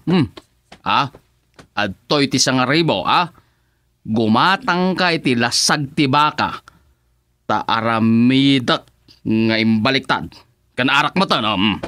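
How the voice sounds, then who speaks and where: A man's voice: animated, measured pace, sharp tone, dry recording. A man with an Ilocano accent playing a boastful middle-aged village councilman and husband in a radio comedy, exclaiming and chuckling as he talks. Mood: bossy. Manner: exclaiming, chuckling, swinging pitch.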